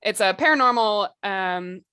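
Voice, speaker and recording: A woman's voice: mid-range voice, unhurried, pushed and bright, smooth, no echo, clean studio sound. A woman co-hosting a podcast, explaining things in an informal, chatty way with lots of casual asides. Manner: chatty, informal, peppers talk with "like" and "you know". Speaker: podcast co-host.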